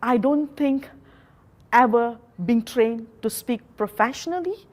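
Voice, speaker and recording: Slow, earnest high-voiced Malaysian woman. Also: a little mellow. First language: a Malaysian local language. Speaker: motivational speaker. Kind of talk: motivational talk.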